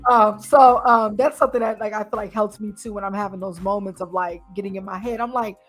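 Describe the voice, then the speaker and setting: A woman's voice: mid-range voice, steady tone, fast talker, faint music behind. A woman hosting a casual podcast, reflective and encouraging as she talks with her guest. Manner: reflective and encouraging, frequent affirming "mm-hmm". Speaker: podcast host.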